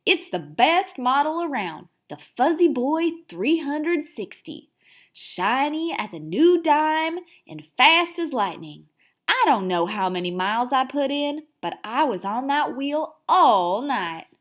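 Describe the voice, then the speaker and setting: A woman voicing a cocky, excitable male pet hamster telling his diary in a children's storybook, with emphatic, theatrical delivery. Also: sits high, slow pace, heavily acted. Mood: smug, delighted. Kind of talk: first-person children's storybook read-aloud.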